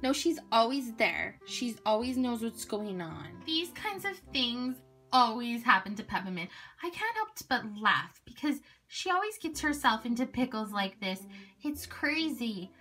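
A woman's voice: on the high side, sing-song, unhurried, bright, forceful tone, soft background music, full character voice. A woman voicing a sensible, bossy girl who is exasperated but reassuring, speaking in an emphatic, matter-of-fact way in a children's pretend-play story. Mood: amused.

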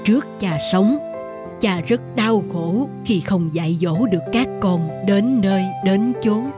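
Slow, earnest old village man. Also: medium pitch. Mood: sorrowful. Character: conscientious, gentle elderly villager, an old man. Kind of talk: animated folk-tale voice acting. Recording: background music under the voice.

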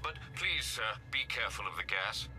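An actor playing a dutiful, concerned butler in a video game, formal and courteous. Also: male voice, medium pitch, expressive, fairly quick, sharp tone, faint music behind. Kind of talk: scripted video game acting.

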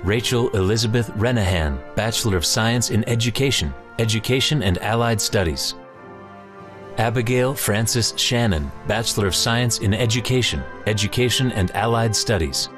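A man reading out graduates' names and degrees at a commencement in a steady, formal announcing manner. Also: on the low side, moderate pace, music in the background. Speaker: name reader.

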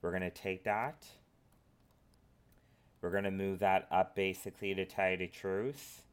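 Slow, low-voiced man with a cold. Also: fairly even intonation, clean tone. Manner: rambling, filler-heavy instructions. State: has a cold, sniffling. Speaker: tutorial host. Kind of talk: screen-recorded software tutorial.

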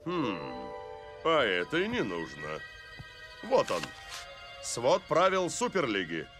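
A man's voice: a little high, huge pitch swings, takes it slowly, music in the background, exaggerated voice. A man voicing a self-assured, determined star footballer in a cartoon, cool and resolute. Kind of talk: dubbed cartoon acting.

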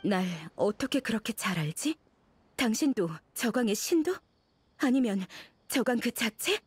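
A woman's voice: on the high side, animated, measured pace, husky, no echo, heavily acted. A woman playing an anxious, guilt-ridden scholar who speaks in a guarded, urgent way in a game drama. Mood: resigned.

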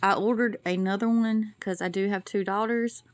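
A woman's voice: mid-range voice, steady tone, moderate pace, smooth, dry recording, studio quality. A woman, chatting casually to viewers at home in a vlog and product review. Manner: chatty, folksy, addresses viewers as y'all. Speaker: vlogger. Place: home kitchen and living room.

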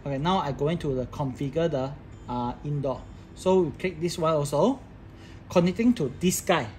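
Man giving tutorial, clear background noise. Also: on the high side, normal speed, darkish tone. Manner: instructional, talking through each step while working. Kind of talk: informal hands-on tech tutorial. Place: indoor office workbench with electronic devices.

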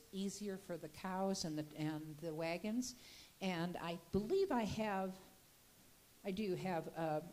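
A woman's voice: fairly low pitch, darkish tone. Chatty older woman telling local history. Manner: folksy, chatty storytelling with restarts. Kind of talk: slide presentation talk. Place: gallery room, indoors. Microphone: handheld mic.

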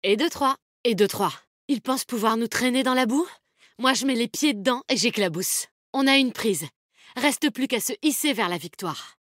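A woman's voice: fairly high pitch, lively intonation, normal speed, a bit bright, dry recording, studio quality. An actress playing a tough, confident, protective woman fighter in a video game, with a bold, cheeky, commanding manner. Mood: determined. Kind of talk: video game character voice lines, combat callouts.

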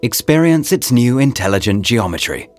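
A man reading a scripted promotional voice-over in short, deliberate, presentational phrases, as a product narrator. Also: medium pitch, sing-song. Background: background music.

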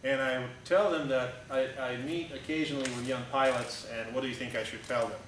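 A Russian-accented man in his thirties speaks to a group in a plain, conversational way, blunt and full of "uh"s and restarts. He sounds far from the mic, with a heavy echo from the big room and a steady hum behind him. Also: mid-range voice, a little fast. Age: 30s. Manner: conversational and blunt, with frequent "uh" and restarts, gesturing while standing. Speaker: airline pilot giving a talk. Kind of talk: informal slide presentation to a group. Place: large echoey hangar-like meeting room indoors. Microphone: camera at the back of the room.

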